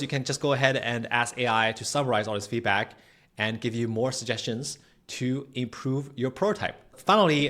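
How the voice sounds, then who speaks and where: A man presenting a software tutorial in a casual, conversational, explanatory way, often checking in with the listener. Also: mid-range voice. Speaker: tutorial host.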